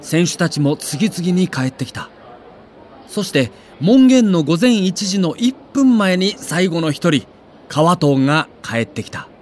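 A man narrating a scripted documentary in a dramatic, measured storytelling manner. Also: sits high, big pitch swings, darkish tone.

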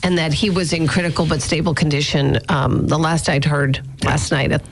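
A woman's voice: sits low, fairly even intonation, moderate pace, a little hiss or hum. A woman hosting radio, giving a conversational update on local news. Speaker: radio host.

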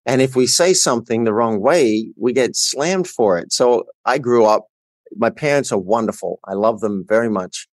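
A man's voice: mid-range voice, normal speed, no echo. A middle-aged man with an Australian accent, telling his personal story earnestly and emphatically as a coach guesting on a podcast.

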